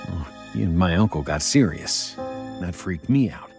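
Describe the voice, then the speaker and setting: Male actor as grown man, low animated voice, wry colloquial horror storytelling, uneasy childhood memory, music underneath. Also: moderate pace, creaky. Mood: uneasy, wry reminiscing. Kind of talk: first-person horror storytelling over music.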